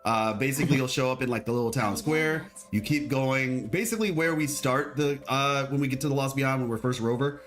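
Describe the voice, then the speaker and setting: A man with a deep voice chatting casually as a lore video host, rambling and restarting his sentences. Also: brisk, hardly any pauses, fairly bright tone, soft background music. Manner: rambling, hesitant, full of restarts. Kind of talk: casual chat.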